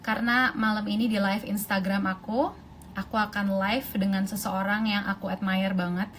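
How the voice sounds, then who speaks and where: An Indonesian-accented woman hosting a phone live stream, chatting casually and gushing with excitement. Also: mid-range voice, brisk. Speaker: host of an Instagram live. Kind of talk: casual live-stream chat switching between two languages. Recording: phone live stream with faint ambient noise.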